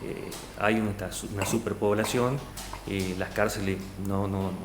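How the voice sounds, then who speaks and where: A man's voice: fairly low pitch, fairly even intonation, measured pace. A man in his thirties from Salta, Argentina, answering a formal interview panel, hesitant, with many fillers and restarts. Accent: Argentine Spanish, Salta. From Argentina, Salta province. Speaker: job candidate. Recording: echoey room, faint noise.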